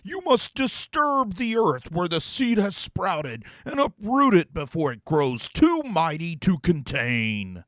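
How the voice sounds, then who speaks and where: A man voicing a theatrical, greedy male demon that talks in grandiose, scornful tones, with a strained, drawn-out delivery. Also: sits high, sing-song, a bit bright, no echo, voice pushed up, full character voice.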